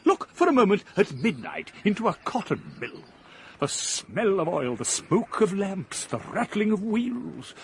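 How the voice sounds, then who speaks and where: A man's voice: sits high, huge pitch swings, measured pace, gravelly, slight background noise, full character voice. An actor playing an impassioned male philosopher in a radio drama, declaiming with scorn against progress. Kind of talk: 1980s radio drama acting.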